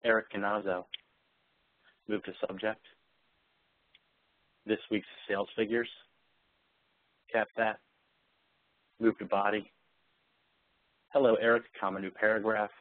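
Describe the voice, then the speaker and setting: A man with a fairly low voice leads a live software demo. He explains features and issues voice commands. The sound is dry, with very little room around it. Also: level tone, a little slow, pauses often, dry recording, narrow, tinny audio. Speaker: software demonstrator.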